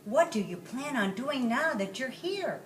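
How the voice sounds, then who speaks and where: A woman's voice: high-pitched, expressive, a little slow, voice pushed up. A woman voicing an earnest, curious young boy in a children's storybook, plain-spoken and eager. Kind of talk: children's storybook read-aloud. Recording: roomy, distant mic, faint noise.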